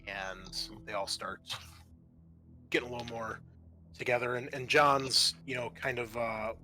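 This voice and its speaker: A man narrating a tabletop role-playing game as game master, haltingly, often circling back to rephrase. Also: medium pitch, steady tone, bright, forceful tone. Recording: music behind the voice. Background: music.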